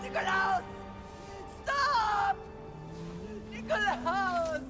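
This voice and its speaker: A desperate mother in a dramatic game scene. Her voice is extremely high and distraught, pleading in long, drawn-out cries over music. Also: expressive, exaggerated voice. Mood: anguished. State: crying out, distraught. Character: desperate, anguished mother in ancient Sparta. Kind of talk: dramatic video game acting.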